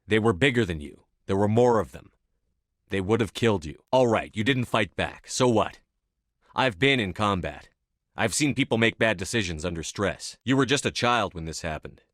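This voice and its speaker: A resolute space commander speaks low. His delivery is measured, terse and used to giving orders, as scripted video game dialogue. The recording is very dry, with no room sound. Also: animated, a bit bright, no echo. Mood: firm, reassuring. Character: tough, resolute male military space commander.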